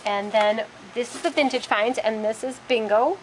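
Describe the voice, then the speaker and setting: Woman calmly listing products in a warehouse. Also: fairly high pitch, moderate pace, a little hiss or hum, rough recording. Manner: plainly lists product names one by one. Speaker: store host showing products. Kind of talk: casual product walkthrough. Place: large warehouse with wire shelving. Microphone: handheld camera, speaker behind it.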